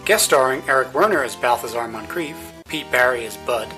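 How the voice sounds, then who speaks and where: A man's voice: mid-range voice, expressive, brisk, pushed and bright, rough recording. A man narrating scripted show credits as an announcer, in an arch, playfully formal manner. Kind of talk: scripted credits narration with tongue-in-cheek patron pitch. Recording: music behind the voice. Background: plucked guitar music.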